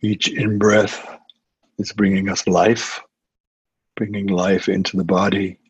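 A man with a low-pitched voice leads a guided meditation. He gives gentle instructions and leaves long, unhurried pauses between phrases. The recording is dry and somewhat muffled. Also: flat delivery, breathy edge, no echo. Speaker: meditation teacher. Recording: muffled, dull lo-fi audio.

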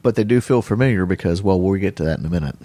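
A man with a low voice co-hosts a casual podcast. He is relaxed and easygoing, and he mostly answers in short, offhand remarks. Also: racing, slight background noise. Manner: laid-back, brief responses. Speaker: podcast co-host.

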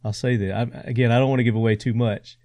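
A man's voice: medium pitch, fairly even intonation, fast talker, warm tone, no room sound. A man hosting a podcast, agreeable and affirming, chiming in with short remarks. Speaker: podcast host. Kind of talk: podcast conversation.

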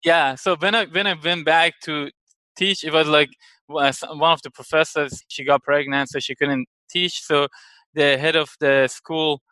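Bright-voiced man from Iran. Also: fairly high pitch, fairly even intonation, normal speed, smooth, dry recording, poor audio. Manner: chatty, laughs often, tags "correct?". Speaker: guest, company founder. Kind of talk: casual interview chat.

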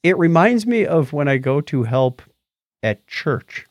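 A man's voice: a little high, unhurried, no room sound. A man co-hosting a podcast, chatting casually about movies and telling stories in a chatty way. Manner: chatty, animated storytelling. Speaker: podcast co-host.

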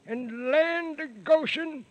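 An actor in a 1930s radio serial playing a crotchety, folksy little old hermit who talks like a rustic old-timer. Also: male voice, very high-pitched, slow, dry recording, dull audio. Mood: folksy, secretive.

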